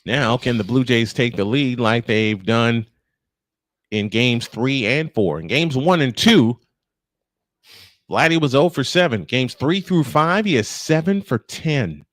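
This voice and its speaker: A man's voice: medium pitch, lively intonation, measured pace, a bit bright, dry recording. A man calling live baseball play-by-play as a sportscaster. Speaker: play-by-play commentator on a live stream.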